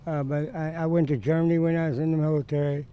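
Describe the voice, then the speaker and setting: A man from Kansas City talking in a plain-spoken, halting way in an interview. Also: fairly high pitch, little pitch movement, smooth, dull audio. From Kansas City, USA. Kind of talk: interview testimony.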